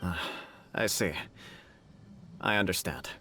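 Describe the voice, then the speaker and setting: An actor playing a dignified, diplomatic shogunate official in a video game, formal, polite and deliberate. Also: male voice, low-pitched, pushed and bright, a little hiss or hum, exaggerated voice. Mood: courteous. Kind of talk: scripted video game dialogue.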